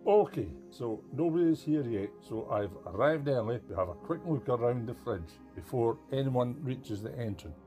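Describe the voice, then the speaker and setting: Man playing a blunt, animated kitchen inspector. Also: mid-range voice, normal speed, few pauses, soft background music, close to an everyday voice. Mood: disgusted. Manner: emphatic, scolding. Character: outraged, blunt male celebrity kitchen inspector.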